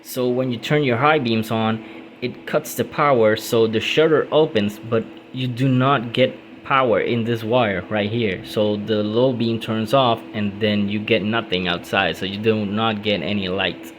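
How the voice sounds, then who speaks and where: A young man from Puerto Rico with a Puerto Rican Spanish accent, walking through a car headlight wiring fix in a casual tutorial, pausing often with ums. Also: on the low side, steady tone, slight background noise. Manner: casual, halting, many ums and restarts. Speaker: car modding hobbyist. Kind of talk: hands-on how-to tutorial.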